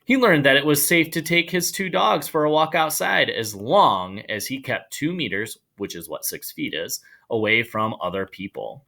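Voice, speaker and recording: A man reading a children's story aloud in an animated storytelling style. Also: medium pitch, moderate pace, creaky, hardly any pauses. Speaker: storybook reader.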